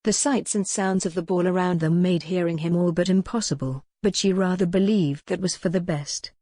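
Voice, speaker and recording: A woman with a fairly low voice narrates a historical romance. Her delivery is scripted storytelling for an audiobook, recorded dry with little room sound. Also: fairly even intonation, moderate pace, warm tone, no echo.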